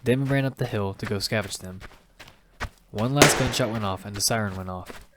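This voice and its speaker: A man's voice: fairly low pitch, fairly quick, heavy background noise, close to an everyday voice. A man playing a hot-tempered father who narrates his own battle-royale survival story in an amateur audio drama. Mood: grim, measured. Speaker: narrator and lead actor. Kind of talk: first-person narration and dialogue in amateur audio fiction. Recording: background music under the voice.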